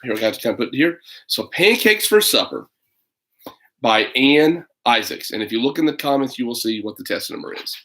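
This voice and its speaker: Guy in his 30s doing online storytime for kids, rambly, kinda goofy asides, average pitch. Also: big pitch swings, normal speed, bright, forceful tone. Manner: rambling, hesitant, playful asides. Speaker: parent reading a picture book for children online. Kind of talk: casual livestream chat and children's storybook read-aloud.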